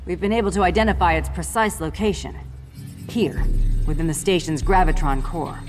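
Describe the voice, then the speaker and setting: A woman's voice: fairly low pitch, moderate pace, over music, natural voice. A woman playing a firm, earnest military superhero with an authoritative manner in a video game. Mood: businesslike command. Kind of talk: dramatic video game acting.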